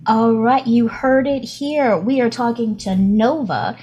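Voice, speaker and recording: Woman playing an upbeat, high-voiced showbiz interviewer. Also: unhurried, darkish tone, natural voice. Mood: bright, encouraging. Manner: presenterly, emphatic, repeats phrases. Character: upbeat female showbiz interviewer presenting a new pop group.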